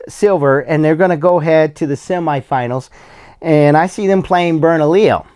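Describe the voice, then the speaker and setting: A man hosting a solo sports podcast, slightly hoarse and losing his voice, who gives bracket predictions in a casual, plain-spoken way with many fillers and restarts. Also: sits high, darkish tone. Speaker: podcast host.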